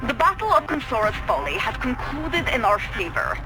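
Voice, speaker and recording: A woman's voice: high voice, wildly swooping pitch, normal speed, exaggerated voice, poor audio. A woman playing a proud, zealous military commander in a video game, formal, solemn and declamatory. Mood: stern, triumphant. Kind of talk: scripted video game cutscene acting. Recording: music behind the voice. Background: music.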